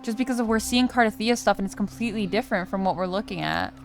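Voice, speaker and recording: A young adult woman giving casual let's play commentary, chatty and slangy as she thinks out loud. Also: medium pitch, expressive, quick pace, a little hiss or hum. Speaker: gaming streamer. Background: game music.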